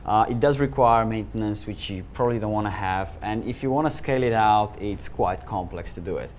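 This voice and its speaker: A man's voice: on the low side, fairly quick, a little mellow, a little hiss or hum. A man from Slovenia with a Slovenian accent, giving a conference talk as a solution architect and telling the story with chuckles and fillers. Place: meeting room with audience.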